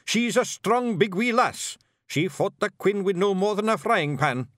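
A man voicing a small, gruff male pictsie clan chief with a Scottish accent, brusque and commanding. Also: sits high, lively intonation, a little slow, fairly bright tone, no room sound, clean studio sound, voice pushed up.

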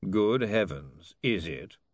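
A man's voice: fairly low pitch, animated, slow, no room sound, clean studio sound. A man voicing a pompous older lord in an audiobook, baffled, testy and easily led, with a blustering, dithering manner. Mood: bewildered.

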